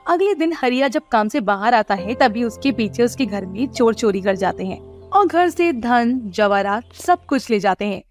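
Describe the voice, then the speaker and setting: A woman giving scripted narration of an animated moral story. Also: fairly high pitch, normal speed, soft background music.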